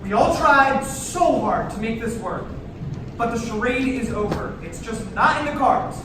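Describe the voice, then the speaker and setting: A man's voice: extremely high pitch, animated, measured pace. A far-off, echoey recording with background noise. A male actor plays an exasperated young man in his twenties in a stage comedy, blunt and fed up. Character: exasperated 25-year-old birthday man, blunt and immature. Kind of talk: live staged comedy reading. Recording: echoey room from far off, noisy.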